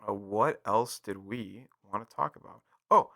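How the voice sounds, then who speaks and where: Forceful, bright-voiced young man, fairly low. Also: unhurried, vocal fry. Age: young adult. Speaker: podcast co-host. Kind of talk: casual gaming podcast chat.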